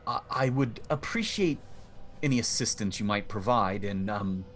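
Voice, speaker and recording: A man's voice: on the low side, animated, moderate pace, fairly bright tone. A man playing an older homeowner who speaks formally and hesitantly, with many ums and restarts. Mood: uneasy. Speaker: game master.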